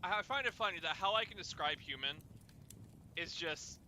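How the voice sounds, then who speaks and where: Bright, high-voiced man chatting casually. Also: lively intonation, brisk, slight background noise. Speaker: host of an informal fireside chat. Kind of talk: casual chat, rambling storytelling.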